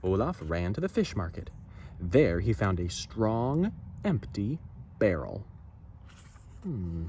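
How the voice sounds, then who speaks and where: A man reading a children's story aloud expressively, with dramatic pauses and asides to listeners. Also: on the low side, sing-song, mellow, slight background noise. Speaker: storybook reader.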